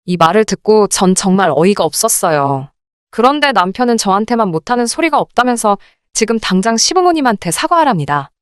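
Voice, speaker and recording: A woman reading a scripted first-person story in an expressive, indignant radio drama style. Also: mid-range voice, brisk, smooth, no room sound, clean studio sound. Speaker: narrator of dramatized listener stories.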